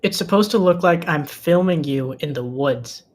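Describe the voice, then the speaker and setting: A man's voice: on the high side, normal speed, lightly acted. A man playing an exasperated, practical amateur cameraman in a comedic table read.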